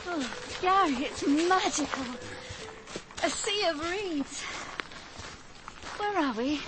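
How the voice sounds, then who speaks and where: Wide-eyed young runaway, high voice, very lively intonation, anxious, radio drama. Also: female voice, slow pace, background noise, full character voice. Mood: wondering, curious.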